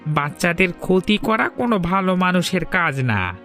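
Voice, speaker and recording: A woman's voice: lowish voice, sing-song, normal speed, a bit bright, soft background music. A woman voicing a cheeky, scheming male food thief who needles and insists, in a cartoon folk tale. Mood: threatening.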